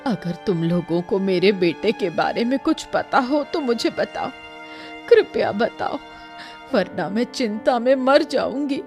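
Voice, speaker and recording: A woman's voice: fairly high pitch, expressive, unhurried, a little mellow. A woman voicing an anxious, pleading old mother in a cartoon story. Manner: pleading, plaintive. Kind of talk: animated Hindi cartoon story acting. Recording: music under the voice.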